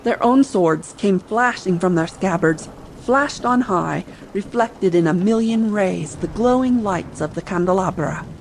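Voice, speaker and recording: A woman's voice: mid-range voice, animated, measured pace, hardly any pauses, background noise. A woman narrator reading a scripted adventure audiobook meant for bedtime listening. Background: ambient sea sound bed.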